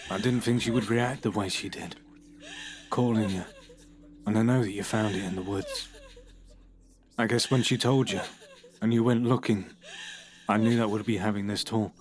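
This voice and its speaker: An actor playing a troubled, unwell man who confesses to the police in a halting, hushed voice with nervous laughs. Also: on the low side, lightly acted. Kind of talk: audio horror drama.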